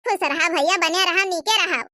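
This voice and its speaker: Woman as a squeaky, humble Awadhi grandmother. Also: moderate pace, pushed and bright, dry recording, clean studio sound. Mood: affectionate. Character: humble, poor grandmother, affectionate and self-respecting mother-in-law. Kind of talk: cartoon comedy dialogue.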